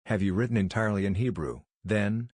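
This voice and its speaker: Man doing a polite earnest young gent, measured and restrained, very deep voice. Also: darkish tone, husky, no room sound, clean studio sound. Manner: measured, courteous.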